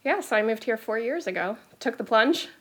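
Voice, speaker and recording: A woman in her thirties from Florida with an American accent, chatting as a podcast guest and telling her story in a quick, chatty way. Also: a little high, lively intonation, a bit bright, slightly rough. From Florida, United States. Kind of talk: casual interview chat.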